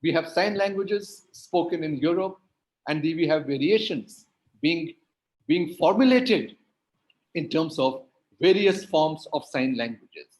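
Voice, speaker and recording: A man's voice: on the high side, expressive, takes it slowly, a little mellow, poor audio. A man whose first language is Hindi and Urdu, speaking on a panel in an emphatic, lecture-like way with rhetorical repetition. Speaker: linguistics academic, panelist.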